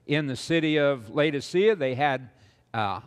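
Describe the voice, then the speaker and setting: An older man lecturing on stage as a preacher to an audience, slow and rambling, with many "uh"s and repeated words. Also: a little high, animated, clear, resonant tone, long reverb tail. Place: large auditorium stage with audience.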